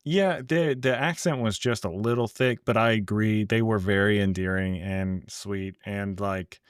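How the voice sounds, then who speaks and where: A man's voice: fairly low pitch, measured pace, clear, resonant tone, no room sound, studio quality. A man co-hosting a casual podcast chat, laid-back, with wry asides. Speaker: podcast co-host.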